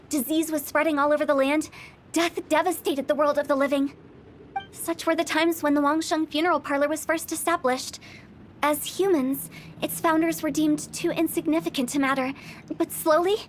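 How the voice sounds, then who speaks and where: A woman's voice: high-pitched, a little hiss or hum, close to an everyday voice. An actress voicing a playful young woman who runs a funeral parlor, teasing one moment and solemn the next. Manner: teasing, then solemn when explaining. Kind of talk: game cutscene voice acting.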